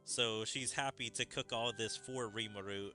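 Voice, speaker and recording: A man's voice: medium pitch, fairly even intonation, normal speed, over music. A man giving casual, rambling, chatty commentary on a game's story. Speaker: gaming streamer.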